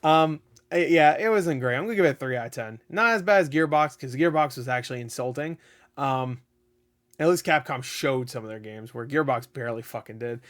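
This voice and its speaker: A man hosting his own gaming channel, giving a rambling, excitable review with frequent laughs and the odd swear, his voice getting a little raspy. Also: medium pitch, a little fast. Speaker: gaming video host. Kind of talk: casual unscripted review.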